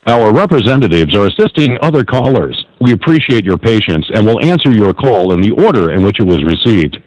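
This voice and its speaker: A man's voice: on the low side, very animated, brisk, darkish tone. A man reading scripted menu prompts and hold messages as an automated announcer over a telephone line. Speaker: automated phone system announcer.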